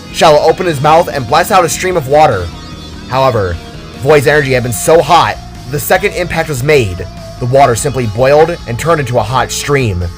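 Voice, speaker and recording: A man reading scripted fiction as a dramatic story narrator. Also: medium pitch.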